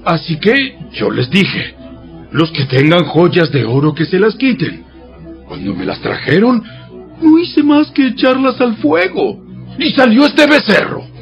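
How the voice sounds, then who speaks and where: A man's voice: high voice, sing-song, a little slow, creaky, dull audio, soft background music, exaggerated voice. An actor playing Aaron as a defensive, excuse-making man in a dramatized audio Bible. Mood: defensive, placating.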